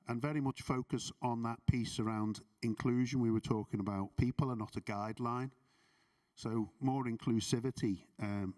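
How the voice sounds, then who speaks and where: A middle-aged man from Liverpool speaks with a Scouse accent and a slightly low voice. He talks earnestly, with plenty of filler words. He is caught by a distant mic in a conference hall. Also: darkish tone. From Liverpool, England. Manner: earnest, filler-heavy, self-deprecating. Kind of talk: reflective closing remarks.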